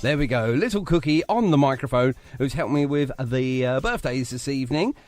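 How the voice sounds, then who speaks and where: A man's voice: mid-range voice, expressive, moderate pace, a little mellow. A man hosting a live radio show with casual, jokey, teasing banter. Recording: music behind the voice. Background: music bed.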